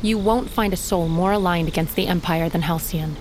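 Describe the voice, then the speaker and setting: A woman's voice: fairly low pitch, fairly quick, a little mellow, slight background noise, natural voice. A woman playing a loyal, earnest winged companion in an audio drama, speaking with steadfast conviction. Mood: loyal, resolute. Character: loyal, earnest female night-flying companion, half blind in darkness. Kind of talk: audio fiction drama acting.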